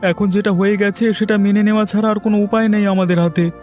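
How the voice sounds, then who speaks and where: A man's voice: high-pitched, little pitch movement, quick pace, a little mellow, soft background music, close to an everyday voice. A man voicing a regretful, resigned husband sunk in debt in a cartoon folk tale. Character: debt-ridden young husband back from the city. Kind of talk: cartoon fairy-tale dialogue.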